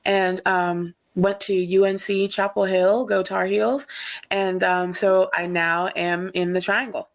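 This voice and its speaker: A woman's voice: medium pitch, a little slow. A woman from Cameroon, a singer-songwriter, speaking casually and hesitantly as a panel guest on a video call. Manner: relaxed, hesitant with many ums.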